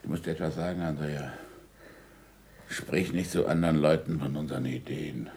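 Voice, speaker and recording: Very deep-voiced, cautious old-school scholar. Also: male voice, fairly even intonation, moderate pace, a little mellow. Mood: cautious, instructive. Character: Galileo, weighty older male scholar, cautious and teacherly. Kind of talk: 1960s German radio drama acting.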